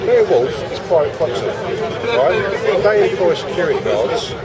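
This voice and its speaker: Male, highish pitch, insistent, official explaining rules, street dispute, heavy crowd bustle. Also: very animated, normal speed, heavy background noise. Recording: outdoor recording in loud crowd bustle.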